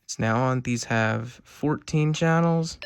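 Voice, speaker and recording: A man's voice: medium pitch, slow pace. A man giving a casual, unscripted product review, talking in a rambling, hesitant way at a desk. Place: indoors at a desk. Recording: clear background noise, homemade video.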